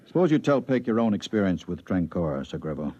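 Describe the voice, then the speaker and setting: A man playing a steady bureau chief giving a briefing, in 1950s radio drama style. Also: deep voice, fast. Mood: matter-of-fact. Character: steady male bureau chief briefing his trusted investigator. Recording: muffled vintage 1950s radio recording.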